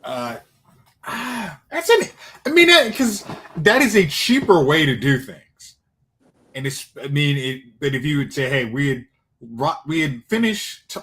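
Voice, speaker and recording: A man's voice: on the high side, a bit bright. A man co-hosting a talk stream in casual chat, thinking aloud and often restarting his sentences.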